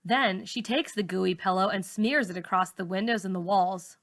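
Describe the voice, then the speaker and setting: A woman narrates a first-person story like a teacher reading to a class. Her voice is mid-pitched and bright, with a forceful edge and expressive delivery. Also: normal speed. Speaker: teacher reading a novel aloud.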